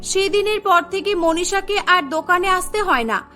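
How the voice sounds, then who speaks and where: A woman narrates a cartoon story in a very high, bright voice. Her delivery is scripted storytelling, with music playing underneath. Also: normal speed. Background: background music.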